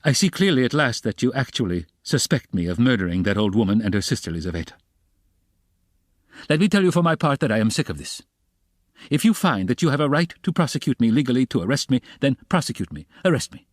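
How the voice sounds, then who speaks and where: A man's voice: mid-range voice, lively intonation, moderate pace, hardly any pauses, dry recording, clean studio sound, voice pushed up, lightly acted. A man voicing a proud, feverish young man under suspicion in an audiobook, indignant, vehement and defiant. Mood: furious, defiant.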